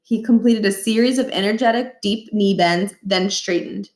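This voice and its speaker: A woman reading a children's novel aloud as expressive, scripted narration. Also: medium pitch, moderate pace. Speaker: storybook reader. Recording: roomy echo.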